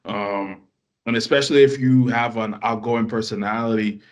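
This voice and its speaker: A man's voice: fairly low pitch, steady tone, normal speed. Casual American man answering an interview. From United States. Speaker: podcast guest, language student.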